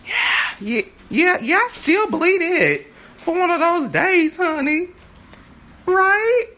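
A woman's voice: very high-pitched, expressive, slow, pushed and bright, heavily acted. A woman performing a sassy, boastful divorced wife in an improvised stage monologue, stammering and repeating words as the character rambles. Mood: emphatic, excited. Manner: stammering, repeats words, sighs and laughs often.

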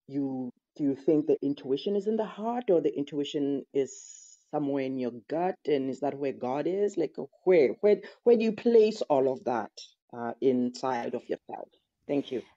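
A woman in an online audio room asking a question, grateful and halting, with many fillers. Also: extremely low pitch, warm tone. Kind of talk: casual conversation. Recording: dull lo-fi audio.

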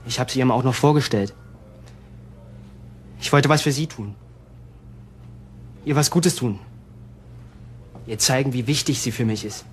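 A man's voice: mid-range voice, unhurried, long pauses, slight background noise, close to an everyday voice. A man acting a bitter, possessive young murderer in a crime radio play, agitated and self-justifying. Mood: agitated, aggrieved.

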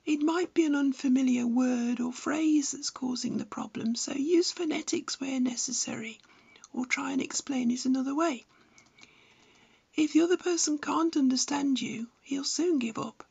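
High-pitched, creaky older woman narrating. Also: a little mellow. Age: 70s. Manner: measured, didactic, precise phrasing. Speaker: amateur radio enthusiast and instructor. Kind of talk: scripted narration giving practical advice.

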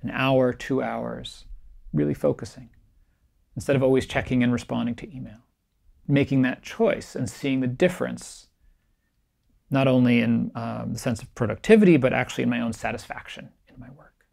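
A middle-aged man with a pitch that sits in the middle of the male range and a heavily gravelly texture. He teaches meditation and gives a keynote in a calm, reflective way, gently pressing his points. He speaks to camera in a small, bright room. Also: normal speed. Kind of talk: recorded keynote talk. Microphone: camera framed at chest height, facing the speaker.

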